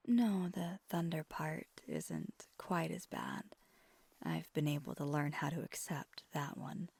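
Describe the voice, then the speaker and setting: Breathy, husky vampire girlfriend acted by a woman. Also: deep voice, no echo, full character voice. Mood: soft, confiding. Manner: slow, gentle, intimate. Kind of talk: ASMR roleplay, soft whispered pillow talk.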